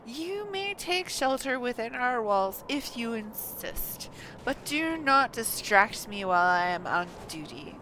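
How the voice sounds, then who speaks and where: A woman's voice: on the high side, very animated, a little slow, pushed and bright, slight background noise, voice pushed up, exaggerated voice, poor audio. A woman voicing a brusque, haughty male knight commander from a video game, imperious and impatient. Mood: impatient, scornful. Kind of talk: reading video game dialogue aloud.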